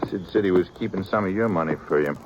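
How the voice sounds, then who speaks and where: A man's voice: sits low, fast, highs cut off, natural voice. An actor playing a terse, practical lawman in a 1950s radio western, speaking in short clipped western phrases. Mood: dry. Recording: noisy old radio recording.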